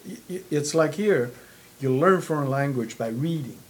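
Halting older man. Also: on the high side, lively intonation. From Taiwan (born in China). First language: Mandarin Chinese. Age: 60s. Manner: halting, repeats words and phrases. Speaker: interviewee. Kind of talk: personal oral history interview. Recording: noticeable background noise.

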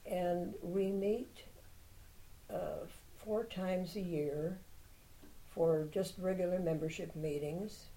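An older woman, a native Czech speaker, talks slowly and at length, reminiscing as she goes. Her voice sits a little low and sounds warm and mellow. She says what she thinks without fuss. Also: steady tone, low-quality recording. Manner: unhurried reminiscing, plainspoken opinions. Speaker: interviewee, Czech heritage club member. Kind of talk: oral history interview.

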